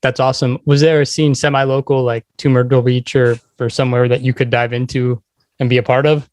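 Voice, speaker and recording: A man's voice: mid-range voice, flat delivery, brisk, a little mellow, no room sound. A man hosting an interview podcast in a casual, conversational style.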